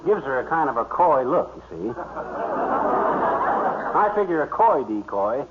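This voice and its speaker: Excitable folksy husband, old noisy radio. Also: male voice, mid-range voice. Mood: proudly scheming. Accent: American. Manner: folksy, fast wordplay patter. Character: excitable, boastful husband, eager amateur duck hunter. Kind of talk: 1950s radio comedy acting. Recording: muffled, noisy 1950s radio recording.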